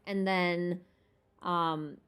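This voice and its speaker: A woman's voice: lowish voice, fairly even intonation, very slow, a bit bright, clear, resonant tone. A woman livestream host chatting casually about a game, thinking aloud in an informal way. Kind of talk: casual chat, game lore rambling. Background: background music.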